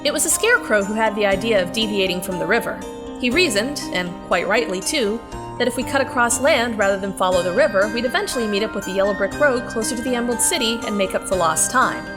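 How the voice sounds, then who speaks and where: A woman's voice: mid-range voice, expressive, fast talker, over music, close to an everyday voice. An actress playing a plucky, sardonic girl traveler who tells her story in a wry, conversational way in an audio drama. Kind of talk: audio drama narration and dialogue.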